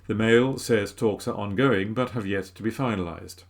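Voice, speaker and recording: A man reading newspaper articles aloud in a steady, even way, recorded at home. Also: on the low side, normal speed, creaky. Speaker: volunteer newspaper reader. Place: home room.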